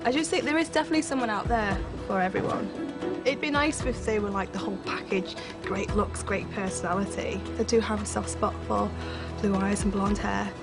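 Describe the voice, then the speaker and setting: A woman's voice: medium pitch, brisk. Giggly Liverpool woman in her thirties. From Liverpool, England. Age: 30s. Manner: giggly, upbeat. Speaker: dater. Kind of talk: casual interview. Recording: loud music behind.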